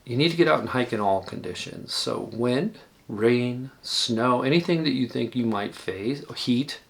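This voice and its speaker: A man in his sixties giving casual, rambling hiking advice, often pausing and correcting himself. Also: mid-range voice, vocal fry, a little hiss or hum. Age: 60s. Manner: relaxed, rambling, self-correcting. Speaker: hiking vlogger.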